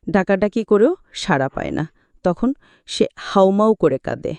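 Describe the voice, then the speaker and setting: A woman's voice: fairly high pitch, mellow, no echo. A woman reading a scripted, dramatic retelling of a film as a movie explainer. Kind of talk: scripted dramatic story narration.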